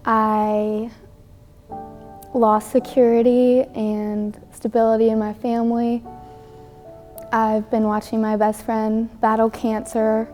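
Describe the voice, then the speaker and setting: A woman's voice: on the high side, flat delivery, takes it slowly, long pauses, darkish tone, faint music behind. A young woman from Louisiana, a college student, speaking sincerely and steadily about a hard time. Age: young adult. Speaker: student giving testimony. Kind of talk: personal testimony.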